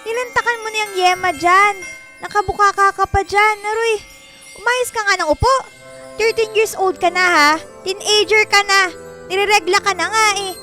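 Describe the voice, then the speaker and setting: A woman's voice: extremely high pitch, steady tone, normal speed, pushed and bright, soft background music. A woman playing a nagging, exasperated mother of a teenage girl in a Filipino radio drama, scolding and exclaiming.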